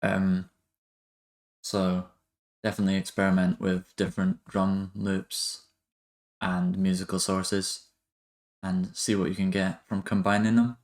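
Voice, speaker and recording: Low-pitched, monotone man giving a tutorial. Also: a little slow, long pauses. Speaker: music production tutorial host. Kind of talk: casual software tutorial narration.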